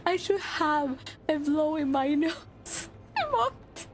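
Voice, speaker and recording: A woman's voice: high-pitched, sing-song, slow pace. A woman streamer with a stuffy nose, chatting casually with viewers while playing a game. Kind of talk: live gaming stream chat.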